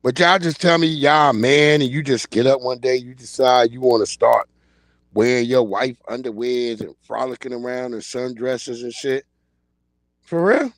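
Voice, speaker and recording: A man in his forties talks straight into a streaming mic with an average pitch, and the sound is close and dry. His delivery is animated, blunt and full of slang, and he keeps pushing his point. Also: moderate pace, no echo. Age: 40s. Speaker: livestream host. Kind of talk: casual commentary livestream.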